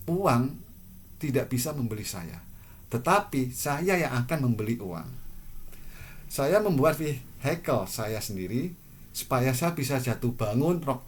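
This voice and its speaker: A man in his forties from Indonesia, speaking to camera in a measured, earnest and reflective personal monologue. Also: medium pitch. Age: 40s. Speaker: vlogger.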